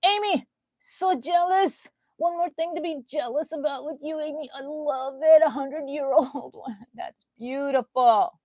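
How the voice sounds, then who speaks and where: A middle-aged woman from Texas, hosting a crochet live stream in a casual, chatty way, often jumping between loud talk and soft whispers. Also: sits high, no echo. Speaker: crochet channel host.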